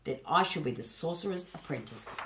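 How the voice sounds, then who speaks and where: A woman's voice: deep voice, lively intonation, fairly quick, slight background noise. An eager young apprentice boy from a children's story, acted by a woman reader. He is earnest and wide-eyed, hungry for adventure. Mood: eager, surprised.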